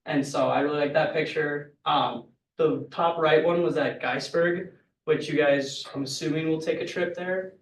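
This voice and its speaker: A man's voice: fairly high pitch, fairly even intonation, normal speed, a little hiss or hum. A young man, a college senior, casually and upbeatly describing his photos, with many fillers. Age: young adult. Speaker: student speaker. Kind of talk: casual talk about photos. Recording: echoey room, distant mic.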